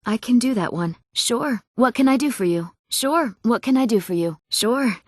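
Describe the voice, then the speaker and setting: A woman's voice: fairly high pitch, expressive, moderate pace, no echo, studio quality. A young woman playing an eager townswoman and bard in a fantasy game, speaking earnestly in short scripted lines. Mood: obliging. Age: young adult.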